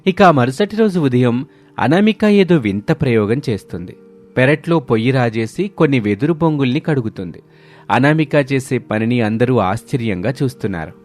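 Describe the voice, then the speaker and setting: A man's voice: medium pitch, animated, normal speed, darkish tone. A man narrating a scripted moral story in a storytelling manner.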